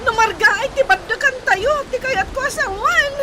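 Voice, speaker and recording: A woman's voice: very high voice, normal speed. A woman playing a terrified woman in peril in a radio drama. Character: terrified woman clinging on during a landslide. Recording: very loud storm and crowd-like noise.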